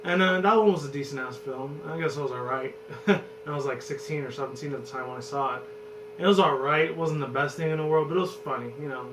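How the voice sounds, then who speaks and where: A man in his twenties with a hoarse voice, rambling and cursing loosely as a vlog host talking about movies. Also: on the high side, fast talker. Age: 20s. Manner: loose and profane, rambles and restarts. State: hoarse from screaming. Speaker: channel host. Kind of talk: rambling casual movie chat. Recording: roomy, distant, noisy with hum.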